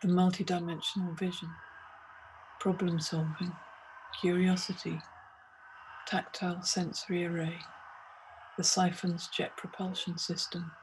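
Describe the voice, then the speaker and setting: Female meditation guide, flat intonation, mid pitch, deliberate phrasing, faint noisy ambience behind the voice. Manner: measured, short deliberate phrases with pauses. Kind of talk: scripted guided meditation narration.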